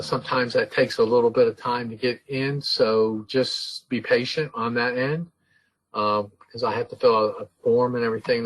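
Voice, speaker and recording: A man hosting a webinar, giving announcements and introducing the speaker, halting with frequent fillers. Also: fairly low pitch, fairly bright tone, low-quality recording. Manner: halting, with many fillers and restarts. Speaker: webinar host.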